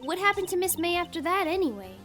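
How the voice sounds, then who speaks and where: Woman voicing a very high, bubbly girl. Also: very animated, quick pace, fairly bright tone, soft background music, exaggerated voice. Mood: curious. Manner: girlish, eager. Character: bubbly, admiring young girl client.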